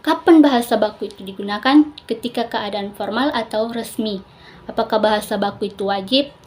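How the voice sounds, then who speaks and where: A woman's voice: a little high, a little fast, a little mellow. A young adult woman, a student giving a scripted presentation with explanatory asides. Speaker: university biology student presenting an assignment.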